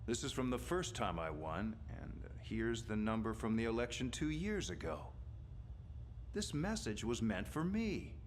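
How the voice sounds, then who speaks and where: A man playing an older president, genial and musing, talking in an unhurried conversational way. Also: lowish voice, very animated, a little hiss or hum.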